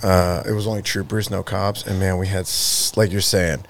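A man in his forties hosting a podcast, chatting in an animated, slangy way. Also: deep voice, normal speed, a little hiss or hum. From Alaska. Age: 40s. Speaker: podcast host. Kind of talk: casual chat.

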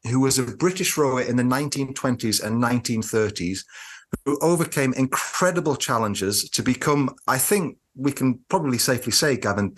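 Enthusiastic male podcast co-host. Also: medium pitch. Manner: enthusiastic, playful, lively. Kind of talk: podcast interview introduction.

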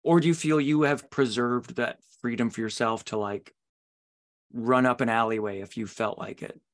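Man, average pitch, podcast host, conversational interview, musing long questions. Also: steady tone, moderate pace.

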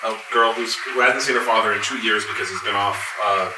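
A man's voice: fairly low pitch, brisk, noisy background. A man chatting casually and jokingly as a panelist at a live convention session. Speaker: panelist, voice actor. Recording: roomy, distant mic, audience murmur.

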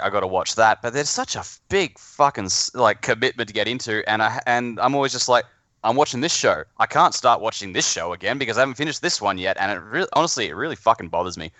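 Male conversational voice, slightly low, crisp bright edge, self-correcting casual delivery. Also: no echo. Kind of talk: casual chat.

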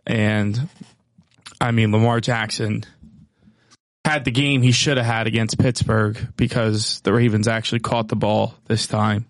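A man co-hosting a casual sports chat, talking in bro-ish slang, his voice a little hoarse from yelling. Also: on the low side, level tone, unhurried, no echo, studio quality. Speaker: podcast co-host.

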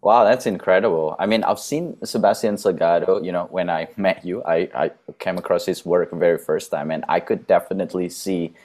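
Low-voiced male podcast host, casual, filler-heavy questions. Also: brisk, hardly any pauses, a little mellow. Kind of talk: casual interview hosting.

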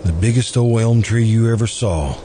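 A man acting an earnest, lovesick, quietly obsessive man in an old-time radio drama, halting and pleading as he repeats himself. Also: lowish voice, natural voice. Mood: brooding, resigned. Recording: noisy amateur radio drama recording.

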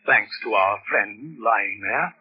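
An actor playing a desperate, scheming murderer in intense, melodramatic 1940s radio style. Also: male voice, on the low side, animated, slow. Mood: cold scheming. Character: desperate male murderer, cold schemer turning panicked. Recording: noisy vintage radio recording, muffled.

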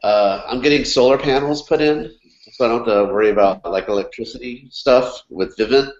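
A man's voice: mid-range voice, a little fast. A man with a cold-stuffed, nasal voice, chatting casually as a podcast co-host and rambling with lots of fillers and restarts. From California. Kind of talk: casual chat. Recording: echoey room, dull lo-fi sound.

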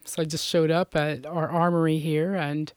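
Woman from Puerto Rico, interview guest, chatty, flat delivery, smooth tone. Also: deep voice, normal speed, no echo. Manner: chatty, many fillers. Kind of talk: casual faith interview.

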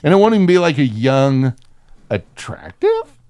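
A man's voice: mid-range voice, wildly swooping pitch, moderate pace, a little mellow, a little hiss or hum. A man in casual comedic chat as a podcast co-host, riffing irreverently and swearing freely.

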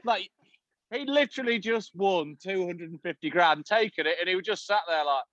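A man's voice: sits high, normal speed, a bit bright, dry recording. A man co-hosting a casual podcast, rambling through an anecdote with plenty of fillers. The recording sounds dull and lo-fi. It is very dry, with no room sound. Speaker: podcast co-host. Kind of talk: casual chat.

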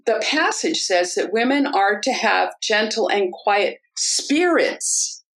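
Woman, unhurried delivery, earnest teaching tone, casual panel discussion, explains scripture. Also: medium pitch, expressive, fairly bright tone, low-quality recording. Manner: earnest, teaching tone with frequent restarts.

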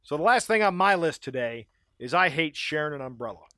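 A man from Maryland co-hosts a casual podcast and rants with animated energy and frequent laughs. His voice sits a little on the high side and is starting to wear out. The sound is close and dry, with no room around it. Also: a little fast, no room sound. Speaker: podcast co-host.

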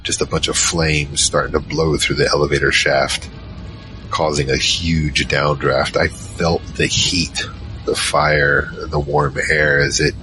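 An actor playing a huge, shaken survivor recounting a monster battle in a halting first-person audio drama narration. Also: male voice, bright, forceful tone, heavily acted, poor audio. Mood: tense, shaken. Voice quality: very low, heavy voice. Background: music.

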